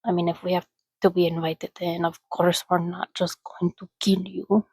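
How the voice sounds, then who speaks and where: A woman voicing a soothing, centuries-old, well-mannered vampire in a soft-spoken ASMR roleplay, chatting gently and often dropping to a whisper. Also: on the low side, flat delivery, breathy edge, dry recording, pitched below the performer's own voice. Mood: gently reassuring. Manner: unhurried, gentle, chatty, reassuring.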